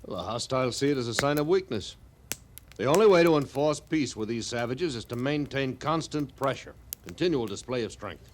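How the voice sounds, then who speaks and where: An actor playing a haughty, hard-line army major with formal, authoritative speech in an old radio western. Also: male voice, medium pitch, lively intonation, brisk, close to an everyday voice. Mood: stern, insistent. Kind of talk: 1950s radio western acting. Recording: noisy old radio drama recording.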